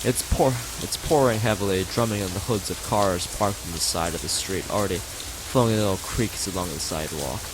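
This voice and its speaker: A man's voice: sits low, brisk, few pauses, noisy background. A man streaming a visual novel, reading its text aloud and often stumbling over words and rereading phrases. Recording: loud music and rain behind the voice. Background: game music and rain sound effects.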